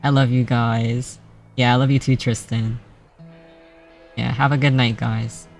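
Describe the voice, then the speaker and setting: Male streamer, mid pitch, gravelly fry, flat and soft-spoken, faint game music underneath. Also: a little slow. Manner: soft-spoken, laughs often, self-described monotonous voice. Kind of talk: casual stream sign-off chat.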